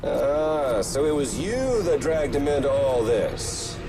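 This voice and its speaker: An actor playing a grandiose, taunting video game villain with a mocking, menacing, theatrical drawl. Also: male voice, a little high, big pitch swings, takes it slowly, noisy background, exaggerated voice.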